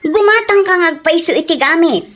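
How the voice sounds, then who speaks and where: A woman's voice: extremely high pitch, animated, normal speed, fairly bright tone. A woman voicing a fussy wife in a radio drama, scolding and complaining about quality.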